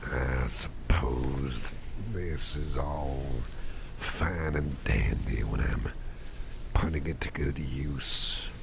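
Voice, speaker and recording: A man performing a gentle ASMR roleplay as a caring boss, half-whispering in a soothing, folksy, unhurried way with a breathy voice. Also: noisy background. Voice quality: breathy, very low gravelly voice with soft whispering. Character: caring, doting male boss soothing a sleepy employee.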